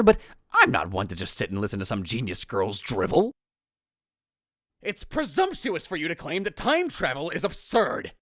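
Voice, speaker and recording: Man acting brash, dramatic college guy, lively low voice. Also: no echo, studio quality, full character voice. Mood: indignant, theatrical. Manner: pompous, dramatic delivery with exclamations. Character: brash, arrogant, theatrical male college student narrator. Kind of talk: visual novel fan dub narration.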